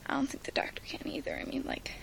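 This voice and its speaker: A young woman ranting casually and dramatically as a fan, often dropping into a whisper. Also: fast talker. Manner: gushing, dramatic, slips into whispers.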